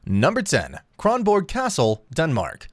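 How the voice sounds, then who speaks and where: High-pitched, lively male travel narrator. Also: a little slow. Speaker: narrator of a travel countdown video. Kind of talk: scripted narration.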